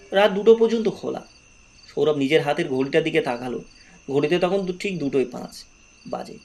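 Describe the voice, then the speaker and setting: A man's voice: fairly high pitch, vocal fry, slight background noise. A man narrating a horror story in a dramatic, scripted storytelling style.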